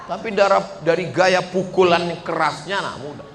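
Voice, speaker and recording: A man in his forties giving a lively sermon to a crowd, asking rhetorical questions and swinging between loud and hushed delivery. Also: high voice, normal speed, slight background noise. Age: 40s. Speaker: preacher. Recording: echoey hall with faint ambience.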